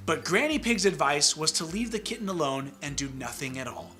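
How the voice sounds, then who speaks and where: Male kids' storyteller and host: lively, varied pitch, bright tone, faint music underneath. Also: brisk. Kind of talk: animated children's story reading with comic banter.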